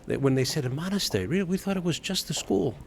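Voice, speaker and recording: A man hosting a conversational interview, speaking deliberately and emphatically in short phrases, often repeating himself. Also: a little high, lively intonation, gravelly, slight background noise. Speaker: interviewer, TV host.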